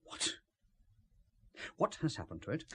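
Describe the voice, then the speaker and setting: An actor playing a cagey, ruthless British spymaster in an audio drama, with formal, understated speech and a husky voice. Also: male voice, measured pace, a bit bright, slight background noise, lightly acted. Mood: alarmed, angry.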